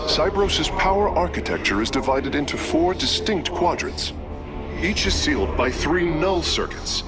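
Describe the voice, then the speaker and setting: An actor playing an earnest, cautious alien engineer in a video game, speaking precisely and formally. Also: male voice, fairly high pitch, natural voice. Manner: precise, formal, explanatory speech. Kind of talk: dramatic video game voice acting.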